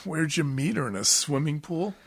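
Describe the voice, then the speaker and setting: An actor playing a boozy detective's sidekick with a gravelly voice, in old-time radio drama style. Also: male voice, a little high, expressive, moderate pace, no room sound, clean studio sound, full character voice. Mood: wry, reluctant. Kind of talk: 1940s radio drama acting.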